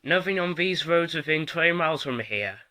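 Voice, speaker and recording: A man's voice: a little high, expressive, brisk, natural voice. A man acting in an audio drama as a dutiful military subordinate reporting to his commander. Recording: noisy background ambience.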